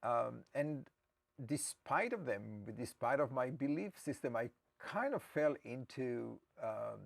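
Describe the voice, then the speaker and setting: Interview guest: Israeli-accented man, gravelly voice, mid-pitched, thoughtful and halting. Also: expressive. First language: Hebrew. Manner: hesitant and reflective, with frequent restarts. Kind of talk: personal storytelling in an interview.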